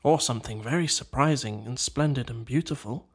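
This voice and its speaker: A man's voice: on the high side, animated, a little slow. A man voicing a poetic water rat in a storybook, murmuring in a dreamy, languid way. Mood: tired, contented. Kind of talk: storybook character dialogue.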